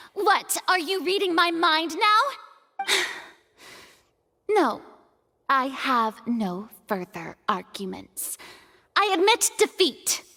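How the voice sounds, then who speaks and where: A woman's voice: very high-pitched, lively intonation, very slow, pauses often, sharp tone, in a large hall, full character voice. An actress voicing a proud, theatrical young lady who is easily flustered, in a video game. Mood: flustered, sulky. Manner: dramatic, haughty, sighing.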